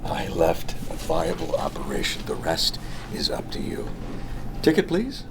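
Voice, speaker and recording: A man's voice: rumbling low, huge pitch swings, measured pace, heavily acted. A man acting in a TV drama table read, playing a persuasive middle-aged business owner with hidden menace. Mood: coldly polite. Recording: noisy room recording.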